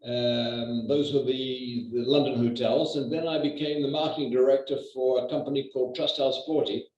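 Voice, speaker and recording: A man's voice: mid-range voice, steady tone, a little mellow. Older guy from new zealand, lots of uhs, sounds far off in a roomy muffled call. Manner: measured, with many hesitations. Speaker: real estate agent guest. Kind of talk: conversational interview. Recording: echoey distant muffled video call.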